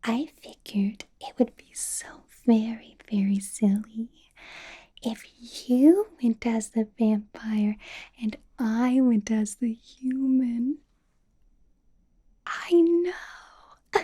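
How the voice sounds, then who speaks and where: A woman playing a possessive, doting female vampire boss in a whispered ASMR roleplay, coaxing softly with a sweetly sinister edge. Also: on the high side, heavily acted. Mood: amused. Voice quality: breathy, whispered. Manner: slow, coaxing, soft giggly delivery.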